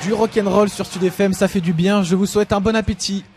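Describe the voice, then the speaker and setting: A young man hosts a casual local radio slot in a high voice. He chats loosely and stumbles into fillers now and then. A faint music bed sits under his voice. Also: fairly quick. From Lyon, France. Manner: relaxed, chatty, hesitant with fillers. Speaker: radio host. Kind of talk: casual student radio chat.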